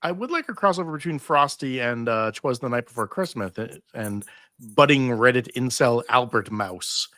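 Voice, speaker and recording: A man's voice: medium pitch, animated, normal speed, a bit bright. A man giving casual comic commentary as a panelist, wry and mock-dramatic.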